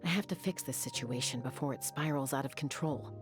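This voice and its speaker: A determined young female lawkeeper for a game. Her low voice is firm and no-nonsense, with faint music behind it. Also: a little fast. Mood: resolute. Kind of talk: game voice acting.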